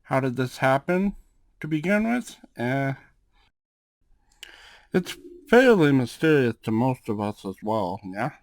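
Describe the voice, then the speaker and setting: A man playing a suave, calm and protective cat-folk gang boss in an ASMR roleplay, speaking in a measured, drawn-out way with questioning tags. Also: medium pitch, no room sound. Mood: explaining, mysterious. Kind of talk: ASMR roleplay, acted monologue to one listener.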